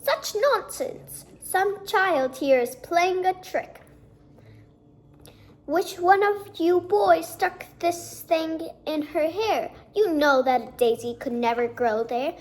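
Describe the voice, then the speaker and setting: A strict storybook schoolteacher, put on by a woman reading aloud, pitched very high. She scolds in exasperation and takes her time over the words, sounding flustered and indignant. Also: slow.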